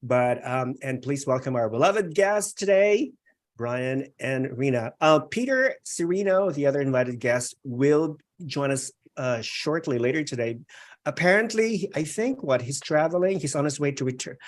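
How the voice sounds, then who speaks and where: Host, man, mid-pitched; casual welcoming delivery over a video call. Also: animated, moderate pace. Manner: friendly, welcoming.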